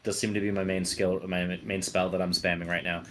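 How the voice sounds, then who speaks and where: A man's voice: sits low, little pitch movement, fast, a bit bright. A man chatting casually about game mechanics as a player in a tabletop roleplaying game.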